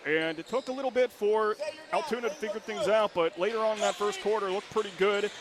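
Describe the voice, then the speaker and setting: A man calling live high school basketball play-by-play for radio from a gym, with quick, excited calls that rise to shouts on big plays. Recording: radio broadcast with loud gym noise.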